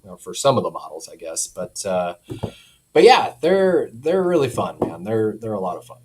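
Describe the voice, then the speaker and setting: A man's voice: on the low side, big pitch swings, normal speed, a little mellow. A man chatting casually as a company representative explaining audio products, easygoing and colloquial, often saying "you know". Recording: clear background noise with hum.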